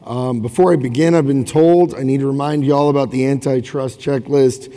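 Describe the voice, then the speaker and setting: A man's voice: medium pitch, steady tone, fairly quick, mellow. A man in his thirties giving informal opening remarks as a panel moderator at a podium. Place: large convention hall stage. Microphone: podium microphone. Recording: hall reverb from a PA.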